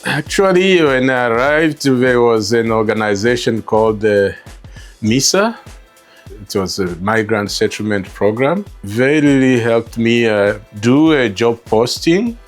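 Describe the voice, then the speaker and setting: A middle-aged man from Rwanda with a Rwandan accent tells his personal story as an interview guest, halting and repeating words as he reflects. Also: medium pitch, expressive, faint music behind. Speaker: interview guest, store co-founder.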